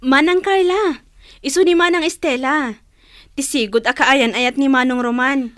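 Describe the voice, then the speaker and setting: A woman's voice: sits high, a little slow, a bit bright, dry recording, clean studio sound. An actress playing a respectful younger woman, a careful go-between, in an Ilocano radio drama. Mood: careful, concerned.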